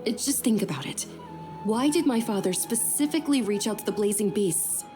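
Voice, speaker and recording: An actress playing a gentle young noblewoman in a game, speaking softly and courteously. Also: medium pitch, animated, fairly quick, over music, natural voice. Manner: soft, courteous, careful. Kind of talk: game voice acting.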